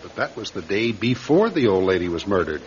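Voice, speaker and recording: Bossy skeptical american police captain, old radio crime show, animated pitch, kinda muffled recording. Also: male voice, fairly quick, a little hiss or hum. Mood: skeptical, probing. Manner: blunt, questioning, authoritative. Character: police captain, commanding, skeptical, blunt man. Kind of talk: radio crime drama acting.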